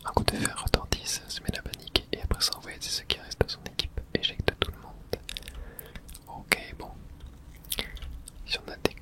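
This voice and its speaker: Someone whispering throughout an ASMR let's play, narrating the game and reading its texts aloud in a hush. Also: normal speed, pauses often. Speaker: host playing and narrating a game.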